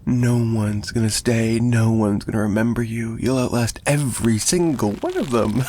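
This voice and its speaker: A man's voice: medium pitch, moderate pace, a little mellow, a little hiss or hum, close to an everyday voice. A man playing an obsessive, menacing mafia kidnapper in an ASMR roleplay, possessive and teasing, with a taunting delivery that swings between murmurs and giggles. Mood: bitter, pleading. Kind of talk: dramatic ASMR roleplay monologue.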